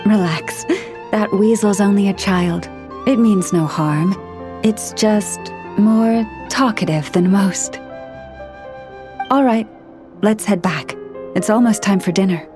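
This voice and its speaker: Woman playing a composed, gentle game priestess. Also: mid-range voice, over music, natural voice. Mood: reassuring, then practical. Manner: measured, articulate, reassuring. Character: composed, gentle young female scholar-priestess, kindly and earnest. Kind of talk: video game voice acting.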